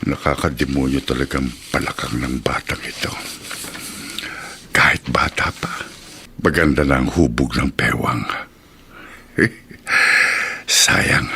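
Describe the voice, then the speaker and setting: An actor with a Filipino accent playing a lecherous, scheming shop owner in a radio drama, gravelly and sly. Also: male voice, rumbling low, steady tone, bright, forceful tone, slight background noise, exaggerated voice. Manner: leering asides, drawn-out menace. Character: lecherous scheming male auto-shop owner, outwardly friendly employer.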